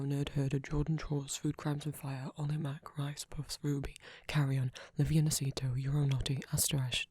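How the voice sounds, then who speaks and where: Soft, husky man with even delivery. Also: on the high side, no echo. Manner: soft-spoken, list-like reading. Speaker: ASMR audio creator. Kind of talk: channel outro, reading out supporter names.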